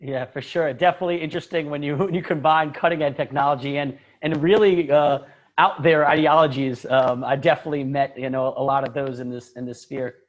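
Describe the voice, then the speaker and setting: Upbeat male interview host, fairly high voice. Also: fairly even intonation, brisk, muffled recording. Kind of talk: casual interview.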